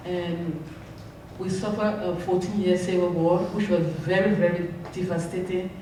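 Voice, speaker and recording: A woman's voice: on the low side, warm tone. A middle-aged woman with a Liberian accent giving impromptu public remarks as an embassy guest, speaking into a handheld microphone. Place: large concert hall stage, seated. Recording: echoey hall, distant pickup, faint noise.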